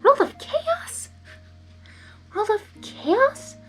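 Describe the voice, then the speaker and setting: A woman voicing a timid, dazed female pony with a high, hesitant voice in a story reading. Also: big pitch swings, very slow, soft background music, exaggerated voice, poor audio. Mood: startled. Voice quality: high-pitched. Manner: hesitant, faltering.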